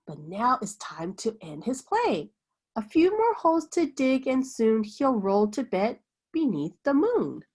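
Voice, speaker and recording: A woman reading a children's picture book aloud like a teacher, with an expressive, sing-song bedtime delivery. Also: a little high, measured pace.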